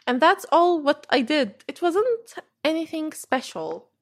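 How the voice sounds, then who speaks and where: A woman's voice: sits high, sing-song, unhurried. A young woman from Syria in her late teens, answering interview questions in a hesitant way with many fillers and restarts. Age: young adult. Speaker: interview guest.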